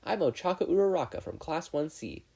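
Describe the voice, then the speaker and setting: Teenage schoolgirl, voiced by a narrator: bubbly, earnest, lively delivery, slightly husky tone. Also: medium pitch, a little fast, pitched above the performer's own voice. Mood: cheerful. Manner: upbeat, earnest, playful. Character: bright, bubbly, friendly teenage girl student. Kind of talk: fan fiction audiobook character voice.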